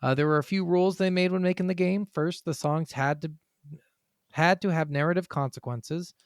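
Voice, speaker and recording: A man's voice: on the high side, fairly even intonation, moderate pace, clear, resonant tone, no echo, studio quality. A man co-hosting a podcast in casual chat, working through his notes with rambling, sometimes profane asides. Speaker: podcast co-host.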